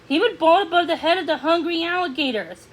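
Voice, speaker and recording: A woman reading a children's story aloud as narrator, halting now and then over words. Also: very high voice, low-quality recording.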